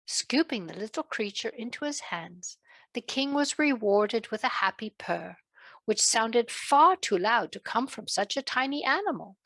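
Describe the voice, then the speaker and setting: A woman narrating a children's story in a gentle, deliberate way, with a British accent that comes and goes. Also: a little high, lively intonation, no echo. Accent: British, fading in and out.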